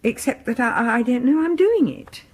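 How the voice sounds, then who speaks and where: A woman's voice: on the high side, expressive, moderate pace, a little mellow. An actress playing a shy, devoted classical music fan in a radio horror drama, a genteel, anxious British woman who speaks in a hesitant, polite, confiding way. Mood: flustered. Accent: British English. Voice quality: breathy.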